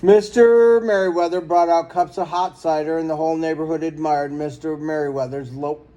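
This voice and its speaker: A man reading a children's story aloud in an expressive, dramatic storytelling manner. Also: a little high, moderate pace. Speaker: storybook reader.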